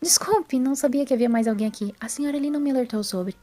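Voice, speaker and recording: Woman playing a higher-pitched, awkward female traveller. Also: warm tone. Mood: flustered, apologetic. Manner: hurried, awkward, apologetic. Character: awkward, nervous, hurried woman time traveller. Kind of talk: audio drama character voice.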